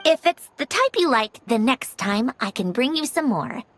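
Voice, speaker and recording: A woman's voice: high voice, sing-song, normal speed. A woman playing a poised, courteous young noblewoman with a teasing edge in a video game, speaking formally and politely. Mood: gracious, composed.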